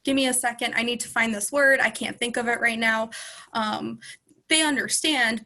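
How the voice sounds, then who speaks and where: Chatty bright young woman. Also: on the high side. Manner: chatty, many ums and self-corrections. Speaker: guest speaker, former student. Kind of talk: casual panel conversation.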